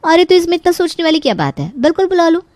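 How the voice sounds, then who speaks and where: A woman playing a carefree, overconfident young daughter-in-law in an animated story, with a playful, breezy, cheeky manner. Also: extremely high pitch, fast, no room sound, voice pushed up. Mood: playful, hurried. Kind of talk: Hindi animated story voice acting.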